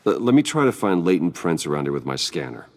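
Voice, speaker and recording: A man playing a guarded, resolute hero in a video game, speaking in a terse, controlled way with a husky voice. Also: low-pitched, very animated, fast talker, darkish tone, long reverb tail. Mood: focused. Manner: terse, restrained. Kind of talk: dramatic video game acting.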